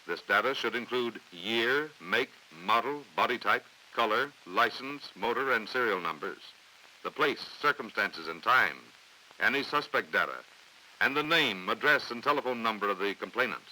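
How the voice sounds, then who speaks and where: An American man reading scripted training film narration in a formal, authoritative, measured way on a vintage 1950s soundtrack. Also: mid-range voice, lively intonation, sharp tone, low-quality recording. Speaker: documentary narrator.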